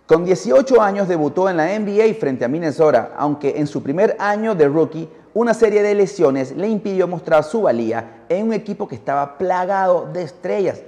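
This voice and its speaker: Narrador peruano de voz oscura con mucha reverberación. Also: male voice, a little high, darkish tone. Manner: dramatic, addresses the listener with rhetorical questions. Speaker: podcast host. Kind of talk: scripted documentary storytelling for a podcast.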